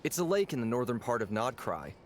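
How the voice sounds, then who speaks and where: Casting: man playing easygoing game innkeeper, jovial, highly expressive pitch swings, mid-range voice, music underneath. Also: a little fast, a little hiss or hum, close to an everyday voice. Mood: easygoing, assured. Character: affable, theatrical male tavern owner, easygoing. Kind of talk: video game voice acting. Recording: music behind the voice.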